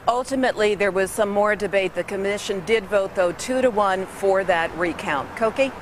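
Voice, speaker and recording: A woman's voice: medium pitch, normal speed. Woman news reporter over faint background noise. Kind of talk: explanatory live news report.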